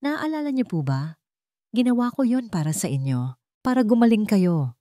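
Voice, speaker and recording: A woman's voice: medium pitch, sing-song, moderate pace, warm tone, dry recording, clean studio sound, pitched above the performer's own voice, natural voice. A Filipino woman voicing a guarded, bitter young single mother in a storybook drama, curt and hurt. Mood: sorrowful, torn.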